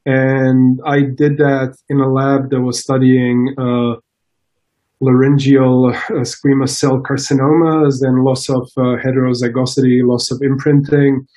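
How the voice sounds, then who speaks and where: A mellow, dark-voiced man from Croatia speaks as a guest in a relaxed interview. He restarts his sentences often and fills the gaps with ums and uhs. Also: mid-range voice, a little slow. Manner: hesitant, many restarts and fillers. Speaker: scientist guest. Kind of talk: casual interview.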